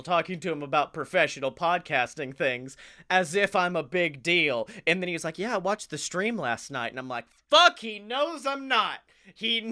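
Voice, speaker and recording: Bright-voiced American man telling casual comic stories. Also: sits high. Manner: animated, swearing, often chuckling while talking. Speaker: comedy podcast co-host.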